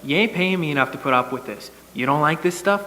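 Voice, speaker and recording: A man playing a sarcastic working-class sound-effects man in a stage comedy, gruff, sardonic and slangy. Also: on the high side, quick pace, sharp tone. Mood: fed up. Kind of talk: stage comedy about old-time radio. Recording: echoey hall, off-mic, faint noise.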